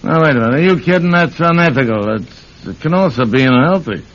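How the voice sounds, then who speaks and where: A man's voice: a little high, animated, quick pace, natural voice. An actor playing an aging, retired thief down on his luck, wary and wisecracking in a streetwise, grumbling way, in a radio suspense drama. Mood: defensive. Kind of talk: old-time radio suspense acting. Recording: muffled, noisy old radio recording.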